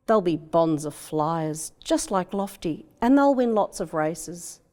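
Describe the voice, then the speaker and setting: A woman's voice: lowish voice, expressive, slow, a little mellow. A woman voicing an eager, hopeful young boy with an Australian accent in a children's storybook. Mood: eager, proud.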